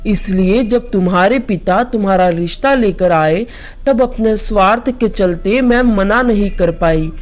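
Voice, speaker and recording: A woman acts an anxious mother of grown children in a village storybook drama. She speaks very slowly, with earnest feeling, pleading in a mid-pitched voice. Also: close to an everyday voice. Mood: apologetic, pleading. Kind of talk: dramatized Hindi moral story.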